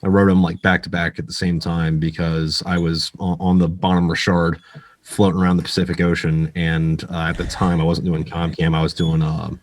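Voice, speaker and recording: A man's voice: deep voice, flat delivery, moderate pace. An American man, a military veteran and guest author, telling stories in casual, profane, slangy podcast chat with plenty of filler. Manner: salty, frequent profanity, slangy filler-heavy delivery.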